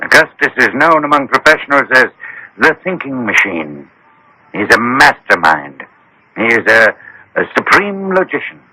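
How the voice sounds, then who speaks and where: An actor playing a genial, persuasive physician who speaks in an inquisitive, deliberate way in radio drama style. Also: male voice, mid-range voice, very animated, pushed and bright, no room sound, full character voice, low-quality recording. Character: probing, persuasive male physician, genial and measured. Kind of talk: 1980s radio drama acting. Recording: old radio drama broadcast.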